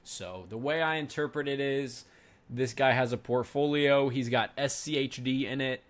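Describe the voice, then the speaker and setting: A man's voice: mid-range voice, moderate pace. A man in his twenties giving casual reaction commentary as a finance video host, chatting to his viewers and calling them "guys" often. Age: 20s.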